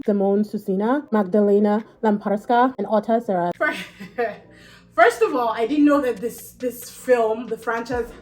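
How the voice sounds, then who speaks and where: Chatty woman reviewing movies, echoey room. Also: a little high. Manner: chatty and animated, laughs often. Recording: echoey room with faint music.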